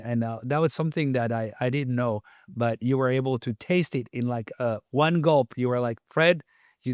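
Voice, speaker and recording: A man's voice: mid-range voice, dry recording. Male podcast host; chatty, stumbling, dry close-miked recording. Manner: chatty, stumbling restarts, tells anecdotes. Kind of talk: casual interview hosting.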